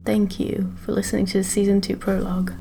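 A woman's voice: medium pitch, level tone, a little fast, creaky. A woman giving a scripted credits read as the announcer for an audio drama podcast. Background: music.

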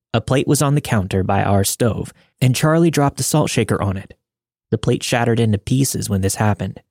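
A man reading a listener's story as scripted podcast narration, in a measured, matter-of-fact way. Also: medium pitch, gravelly, darkish tone, no echo, clean studio sound.

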